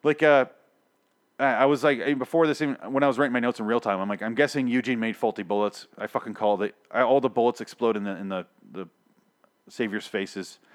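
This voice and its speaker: A man's voice: medium pitch, steady tone, fast talker. A young man reviewing a TV episode in an exasperated, profane rant, full of restarts and rambling asides, his voice getting worn. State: losing his voice. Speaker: TV show reviewer.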